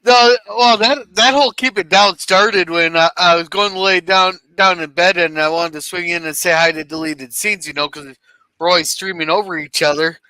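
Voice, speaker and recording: A man hosting a casual live stream chat, laughing often and talking loudly. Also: high-pitched, normal speed, clean tone, dry recording, rough recording. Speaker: stream host.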